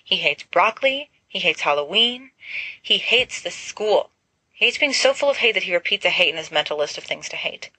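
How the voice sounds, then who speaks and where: A woman narrates a story with expression, like a volunteer audiobook reader. Her voice is bright and forward, a little low for a woman, and recorded very dry and close. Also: expressive, normal speed, slightly rough, no room sound, poor audio. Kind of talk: expressive narration of fan fiction.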